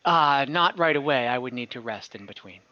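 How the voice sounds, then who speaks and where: A woman's voice: a bass voice, animated, fairly quick, bright, forceful tone, no echo, highs cut off, heavily acted. A man voicing a worldly brothel madam who offers answers for a price, with a teasing, businesslike manner.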